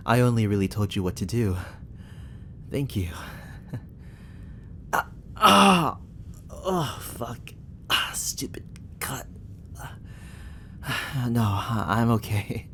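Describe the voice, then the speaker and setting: A man's voice: medium pitch, big pitch swings, extremely slow, long pauses, pushed and bright, a little hiss or hum, full character voice. A young man playing a flustered, protective barista who is secretly a time agent, in an ASMR sci-fi roleplay, speaking with stammers. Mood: relieved, then weary, apologetic. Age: young adult. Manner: stammering, breathy sighs and nervous laughs. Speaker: roleplay actor.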